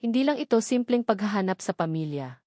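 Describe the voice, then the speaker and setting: A woman narrates a scripted drama in the first person. Her voice sits at a middling pitch. The recording is dry, with hardly any room sound. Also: big pitch swings, brisk, a little mellow, no echo. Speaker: story narrator.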